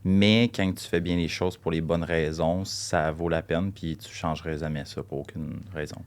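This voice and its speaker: A man's voice: extremely low pitch, steady tone, normal speed. A young man with a Québécois accent co-hosting a podcast, blunt and joking, swearing freely as he talks about running a coaching business. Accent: Québécois French. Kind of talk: casual chat.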